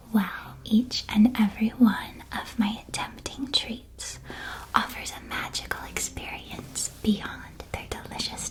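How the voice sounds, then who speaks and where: A woman playing a cheerful, welcoming witch who keeps a magical sweet shop, in a hushed, gently enthusiastic ASMR roleplay. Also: mid-range voice, lightly acted. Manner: soft-spoken, hushed, gently enthusiastic sales patter.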